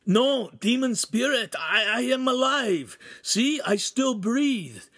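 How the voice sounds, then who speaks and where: A man voicing a rough, dazed and frightened young ruffian in an audiobook, groaning, stammering and blustering. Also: very high-pitched, expressive, takes it slowly, fairly bright tone, no room sound, studio quality, pitched above the performer's own voice, exaggerated voice. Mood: terrified. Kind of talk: audiobook character voice.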